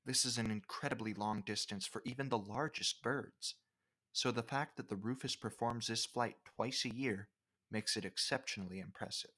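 A man reading scripted educational nature narration in a measured, formal way. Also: mid-range voice, a bit bright.

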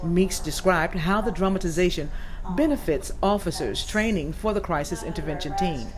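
A woman's voice: on the low side, animated, normal speed, a crowd in the background. Woman reporter reading scripted news.

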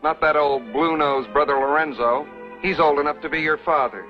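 A man's voice: a little high, steady tone, normal speed, lightly acted. An actor playing a proud, stubborn young frontier man in a 1950s television western, blunt and heated. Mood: indignant. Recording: muffled old TV soundtrack with music.

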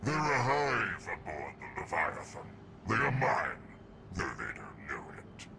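An actor playing a pompous, decadent alien emperor in a video game, with a gravelly, booming, theatrical voice that slides down to whispers as he savors his words. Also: huge pitch swings, unhurried, very noisy, exaggerated voice. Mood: grandiose. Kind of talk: video game cutscene dialogue.

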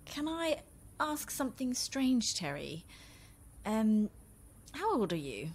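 An actress playing a shy, nervous, chatty romance novelist in a radio drama, hesitant, polite and self-deprecating. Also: female voice, a little high, sing-song, slow, slightly rough, full character voice. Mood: wary, hesitant. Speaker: actor in an audio drama. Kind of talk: naturalistic radio drama acting.

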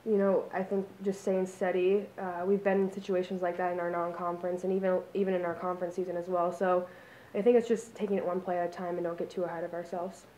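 A young woman college basketball player answering at a table mic, upbeat and quick, peppered with likes. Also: on the low side, flat delivery, warm tone, echoey room, slight background noise, low-quality recording. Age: young adult. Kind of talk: post-game press conference answers. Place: press conference room. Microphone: table microphone.

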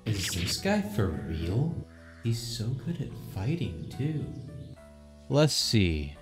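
A man's voice: fairly low pitch, very animated, takes it slowly, faint music behind. A man voicing a young wandering warrior in a comic dub, easily startled, sarcastic and exasperated in a casual way. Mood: dry, exasperated. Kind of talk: animated comic dub acting.

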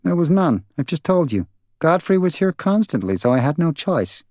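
A man's voice: a little high, very animated, moderate pace, a little mellow, no room sound, pitched above the performer's own voice. A man voicing a blunt, resentful older woman in an audiobook, scornful and sullen. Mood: sullen, defiant. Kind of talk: dramatised audiobook dialogue.

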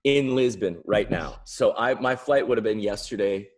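Low-pitched American man, casual storyteller. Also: normal speed, over loud music. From American Midwest. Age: middle-aged. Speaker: interview guest, winery founder. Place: indoor room at home, on a video call.

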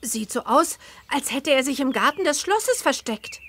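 A cheerful teenage witch girl for a children's game. Her voice is high and swoops expressively, with clear diction over some background noise. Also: sing-song, normal speed, fairly bright tone. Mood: helpful, thoughtful. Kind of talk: scripted children's game dialogue.

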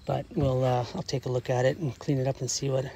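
A man's voice: medium pitch, level tone, fast, gravelly, mellow. A man in his forties hosting a casual outdoor vlog, talking plainly and hesitantly into a handheld camera. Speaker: vlog host, magnet fishing father. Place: outdoors, bridge by a road and later outside at home. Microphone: handheld camera or phone. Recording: noisy outdoor traffic ambience.

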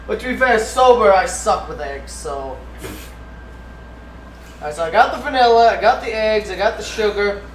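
A man's voice: high voice, lively intonation, moderate pace, long pauses. Jokey, sweary man in an echoey kitchen. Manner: profane, jokey, talks through steps. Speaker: livestream cooking host. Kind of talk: casual cooking livestream commentary. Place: indoors in a home kitchen. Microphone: distant room mic. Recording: echoey room, distant mic, faint noise.

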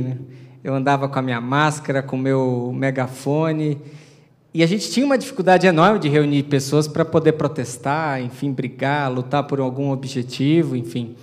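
A man in his thirties with a Brazilian Portuguese accent, a mayor giving a public address on a handheld mic, informal and conversational with frequent fillers. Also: on the high side. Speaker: city mayor. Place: large auditorium, seated at a panel table. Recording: long hall reverb.

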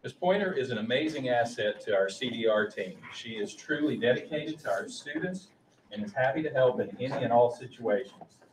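A man's voice: medium pitch, animated, fairly quick. Middle-aged male official, formal presenter reading aloud, picked up from far off in an echoing room. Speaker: school official presenting staff and student awards. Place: large meeting room, indoors. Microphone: distant room microphone. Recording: roomy, distant mic, faint noise.

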